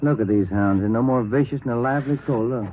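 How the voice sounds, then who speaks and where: An actor playing an easygoing, plain-talking police detective, casual and dry, in an old radio crime drama. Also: male voice, medium pitch, fast, phone-quality sound, close to an everyday voice. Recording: noisy, band-limited old radio recording.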